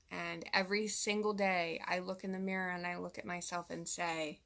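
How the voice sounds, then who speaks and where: A woman's voice: fairly low pitch, gravelly, a bit bright. Exasperated mom in her thirties venting. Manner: exasperated, candid venting to viewers. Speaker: mom vlogger. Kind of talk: direct-to-camera vlog monologue.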